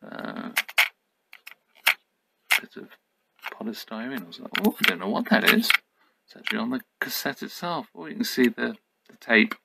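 A man's voice: medium pitch, animated, normal speed, vocal fry, pauses often, heavy background noise. A middle-aged man giving a casual, unscripted show-and-tell, musing hesitantly as he goes. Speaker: YouTube host. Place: indoors at home.

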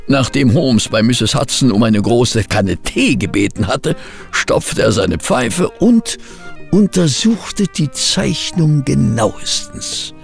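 An actor playing a sceptical detective's companion and narrator in an audio drama, expressive and given to grumbling. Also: male voice, medium pitch, takes it slowly, gravelly, faint music behind. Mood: measured, narrating. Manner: expressive, doubting, hesitant asides.

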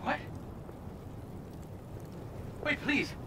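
Frightened captive, echoey and pleading. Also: female voice, very slow. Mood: panicked. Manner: pleading, panicky. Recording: echoey, very noisy, distant playback.

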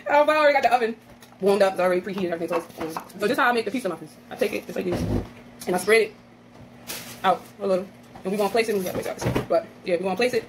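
A woman's voice: medium pitch, very fast. A young woman chatting casually to her viewers while cooking in her home kitchen, calling them y'all. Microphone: phone camera on the counter. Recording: noisy phone recording with kitchen clatter.

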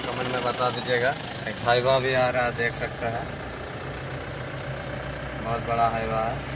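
A man's voice: mid-range voice, unhurried, heavy background noise. A young man chatting casually to viewers in a vlog, filmed on a phone held at arm's length outdoors and in his car. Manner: flat, plain narration addressed to viewers. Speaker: vlogger, hire-car driver. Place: outdoors in a village, then inside a car.

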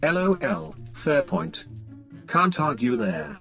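Man as a creaky-voiced scheming cartoon hacker. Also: on the high side, very animated, takes it slowly, a bit bright, soft background music, full character voice. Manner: theatrical, speaks internet slang aloud. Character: whiny, scheming masked male hacker villain. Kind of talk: animated Minecraft kids roleplay acting.

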